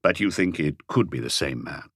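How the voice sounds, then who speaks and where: A man voicing a calm, terse police inspector who questions in a measured, probing way for an audiobook. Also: very deep voice, slightly rough, dry recording, studio quality, pitched below the performer's own voice, heavily acted.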